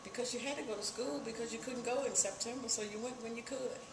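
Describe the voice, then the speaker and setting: A woman's voice: on the high side, fairly even intonation, fast. Older Southern woman in an echoey, noisy room. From Virginia, United States. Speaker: oral history interviewee. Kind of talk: personal reminiscence. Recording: echoey room with clear background noise.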